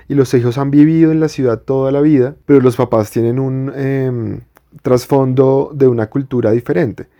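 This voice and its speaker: A young man from Bogotá co-hosts a podcast in a relaxed, easygoing chat. His voice is mellow and warm, with a Bogotá accent. He works through his thoughts out loud and often restarts. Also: mid-range voice, normal speed. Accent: Bogotá Colombian Spanish. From Colombia. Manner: reflective, explains at length with restarts. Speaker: podcast co-host. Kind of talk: casual chat.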